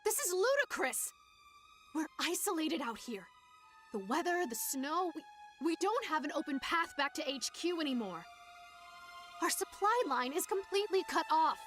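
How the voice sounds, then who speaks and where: The forceful, insistent young officer pushing her troops onward in a war game cutscene, score behind. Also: sits high, sing-song, moderate pace, soft background music. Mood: indignant. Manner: commanding, insistent. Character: headstrong, forceful young female army officer. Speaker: game voice actor. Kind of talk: dramatic game dialogue.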